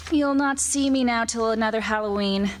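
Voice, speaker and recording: A woman's voice: a little high, normal speed, long reverb tail, slight background noise, close to an everyday voice. Woman playing a seductive, teasing witch. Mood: tender, solemn. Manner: coaxing, archaic storybook phrasing. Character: seductive, teasing immortal witch, promising riches and eternal life. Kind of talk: old-time radio drama acting. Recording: staged radio play with music.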